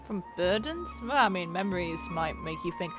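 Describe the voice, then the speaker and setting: A woman's voice: lowish voice, moderate pace, soft background music. A woman doing casual, sweary, sarcastic commentary while playing a video game. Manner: sarcastic, animated, exclaiming. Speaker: game streamer.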